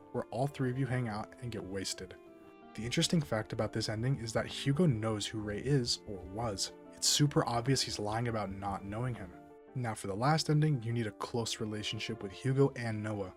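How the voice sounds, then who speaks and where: A man narrating a casual scripted video essay on a game, informal and wry, with profane asides. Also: fairly low pitch. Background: music behind the voice.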